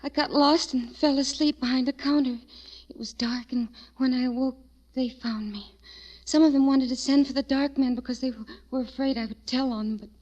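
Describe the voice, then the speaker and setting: A high, timid young servant girl. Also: steady tone, normal speed, darkish tone. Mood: timid confession. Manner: meek, hesitant, tremulous. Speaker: radio drama actress. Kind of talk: 1940s radio drama acting. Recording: muffled 1940s radio recording.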